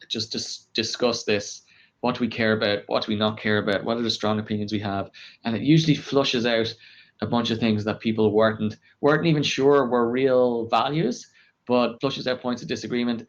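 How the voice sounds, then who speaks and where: A man explaining his work in a conversational interview, measured and explanatory, as a podcast guest. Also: on the low side. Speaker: podcast guest, engineering director. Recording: dull, lo-fi podcast audio.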